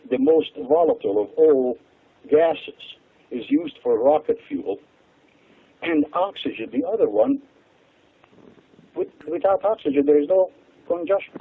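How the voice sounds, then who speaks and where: An older man with a Hungarian accent, explaining his subject in an interview with frequent chuckles and sudden jumps in pitch. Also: husky. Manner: animated, chuckles often, pitch leaps for emphasis. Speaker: interview guest, water expert. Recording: tinny phone-like line.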